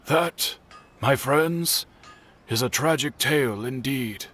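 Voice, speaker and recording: A man playing an earnest, courteous blacksmith in a video game, telling old tales formally with dramatic pauses. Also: mid-range voice, lively intonation, a bit bright, slight background noise. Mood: grave. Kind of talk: video game voice acting, narrative exposition. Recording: background music under the voice.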